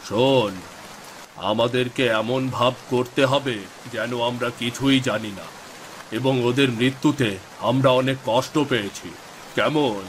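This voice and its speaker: A man's voice: mid-range voice, steady tone, unhurried, close to an everyday voice. Guy playing a gloating village landlord villain, bossy and conspiratorial, cartoon, mid voice, some rain noise. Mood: cautious, commanding. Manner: commanding, conspiratorial. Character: scheming, gloating male village landowner villain. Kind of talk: cartoon story voice acting.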